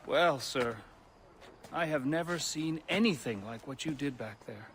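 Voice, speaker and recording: An actor playing an articulate, formal and earnest revolutionary gentleman in game drama dialogue. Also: on the high side, measured pace, a little hiss or hum. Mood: earnest, persuasive.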